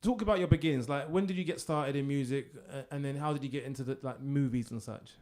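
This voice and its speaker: A man's voice: mid-range voice, fairly even intonation, fast talker, a little mellow. A young man hosting a radio interview, asking long rambling questions full of fillers like "um" and "like". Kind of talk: casual interview.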